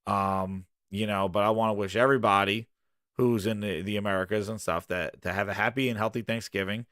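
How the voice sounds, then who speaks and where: A man hosting a casual gaming livestream, hoarse and rambling, launching into animated rants. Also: on the low side, fairly quick, no room sound, studio quality. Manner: animated ranting, loose and rambling. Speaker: gaming podcast host.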